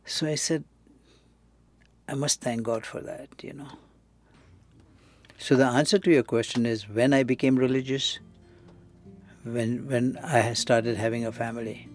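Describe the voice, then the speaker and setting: A man's voice: mid-range voice, steady tone, unhurried, long pauses, faint music behind. A man in his seventies in an interview booth, speaking to his son in a reflective, earnest way. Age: 70s. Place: recording booth.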